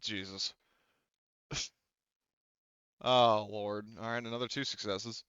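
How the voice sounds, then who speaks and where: Guy game mastering, smooth voice, dry dull lo-fi mic, kinda thinking out loud. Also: on the low side, fairly even intonation, slow, dry recording. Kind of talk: casual tabletop game refereeing.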